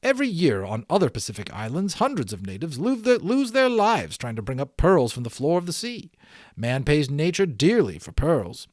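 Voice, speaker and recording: A courteous gentleman guide acted out by a man reading an audiobook, calm and explanatory, with theatrical lifts into a higher pitch. His delivery is measured yet very melodic, recorded dry and close. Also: no room sound. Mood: grave, theatrical. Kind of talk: audiobook character dialogue.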